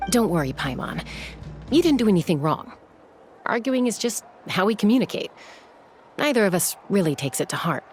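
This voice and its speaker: A woman playing a composed, confident, teasing older sister in a video game, calm and lightly wry. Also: medium pitch, moderate pace, pauses often, faint music behind, natural voice. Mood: light, reassuring.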